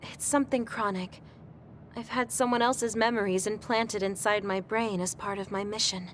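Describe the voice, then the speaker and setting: A woman playing a sweet, guileless young woman in a video game, speaking gently and politely. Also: medium pitch, fairly even intonation, moderate pace, slight background noise, close to an everyday voice. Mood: worried, sincere. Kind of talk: English video game voice acting. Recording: faint music behind the voice.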